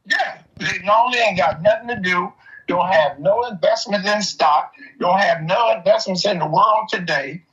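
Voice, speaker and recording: A man's voice: sits high, normal speed, sharp tone, slight background noise. An older man in casual, opinionated conversation, telling stories in an emphatic, streetwise way. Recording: dull, lo-fi audio.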